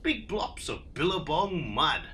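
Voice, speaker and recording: Man doing a high, keen platypus. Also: big pitch swings, a little slow, sharp tone, slight background noise, heavily acted. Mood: advising. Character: advice-giving platypus keen on mud. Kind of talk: children's storybook read aloud.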